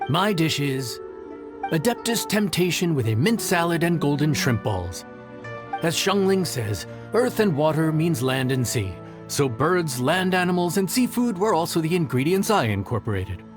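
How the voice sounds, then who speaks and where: An earnest, jittery young chef in a game cutscene. His fairly high voice wanders in pitch, halting and stammering, over game music. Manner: hesitant, stammering. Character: young male chef, nervous but earnest. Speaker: chef, contestant.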